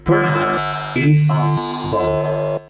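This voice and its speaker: A sinister female animatronic, taunts in a very deep, slow voice. The horror game villain sounds cold and fatalistic, with loud music behind her. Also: sing-song, reverberant, exaggerated voice. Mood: cold taunting. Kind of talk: video game villain voice lines.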